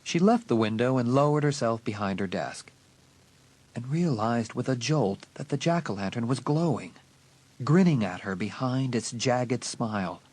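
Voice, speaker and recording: Husky male horror audiobook narrator. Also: mid-range voice, expressive, a little mellow. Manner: suspenseful, deliberate emphasis. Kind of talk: dramatic scripted horror narration.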